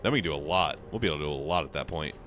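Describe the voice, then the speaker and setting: Hoarse young man, casual gameplay talk, bright tense tone, rambling, restarts often. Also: rumbling low, lively intonation, very fast, slight background noise. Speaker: gaming channel host.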